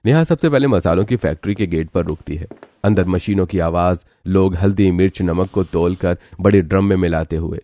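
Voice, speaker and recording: A man's voice: sits low, normal speed, warm tone. A man reading scripted story narration as the narrator.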